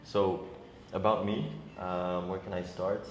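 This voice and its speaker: A young man from Senegal, a guest DJ, talking casually in an interview with many ums and pauses. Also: deep voice. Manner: relaxed, hesitant, many ums and uhs. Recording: echoey room with background noise.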